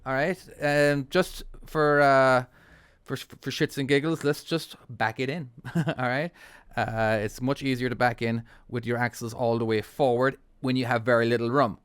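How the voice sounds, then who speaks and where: A man's voice: medium pitch, steady tone, normal speed, fairly bright tone, clear, resonant tone, dry recording. A man with an Irish accent hosting his own channel, chatting casually and laughing often while narrating a truck-driving game. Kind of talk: casual gameplay commentary.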